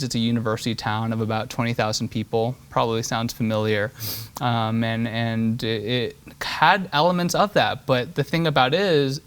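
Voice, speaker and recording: A man's voice: mid-range voice, smooth. A man in his thirties, from Switzerland, answering political interview questions earnestly, often repeating words as he thinks. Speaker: state representative guest.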